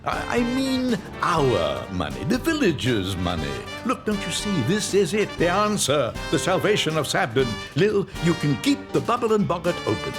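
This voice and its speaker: Pompous, swooping greedy uncle. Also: male voice, a little high, wildly swooping pitch, moderate pace, few pauses, music in the background. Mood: grandly scheming. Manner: blustering, theatrical, indignant. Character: pompous, greedy, scheming uncle in a comic village. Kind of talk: full-cast comedy audio drama acting.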